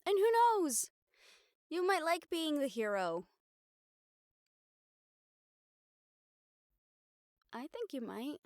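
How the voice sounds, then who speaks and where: A woman's voice: sits high, big pitch swings, measured pace, darkish tone, no echo, clean studio sound, exaggerated voice. A woman playing a wry, daring young reporter in an intimate audio roleplay, talking to her partner in a sardonic, sighing, casually sweary way. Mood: tender, encouraging. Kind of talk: intimate audio roleplay acting, conversational monologue to the listener.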